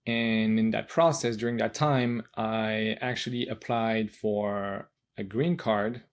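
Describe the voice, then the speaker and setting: A man's voice: lowish voice, steady tone, slow. A French man in his thirties with a French accent, speaking English as a second language and casually telling his own story as a podcast guest. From France. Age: 30s.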